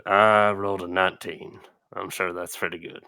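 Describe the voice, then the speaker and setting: A man's voice: low voice, little pitch movement, moderate pace, bright, forceful tone, clear, resonant tone, no room sound. A man playing a tabletop roleplaying game, talking casually in a cocky, jokey, punchy way.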